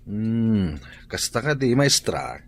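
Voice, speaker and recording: A man's voice: lowish voice, sing-song, takes it slowly, heavily acted. A man with an Ilocano accent acting an easygoing husband who asks questions, in a radio drama. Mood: friendly teasing.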